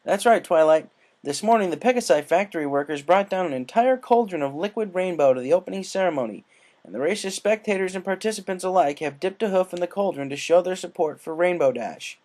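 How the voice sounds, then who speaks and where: Performer doing an excitable high young boy dragon announcer. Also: a little fast, lightly acted. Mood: upbeat.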